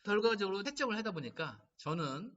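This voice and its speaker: A man's voice: sits high, a little fast. A middle-aged man giving a laughter therapy lecture to caregivers, telling stories and acting out quotes. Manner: lively storytelling, animated quoting. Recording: distant mic, dull lo-fi sound.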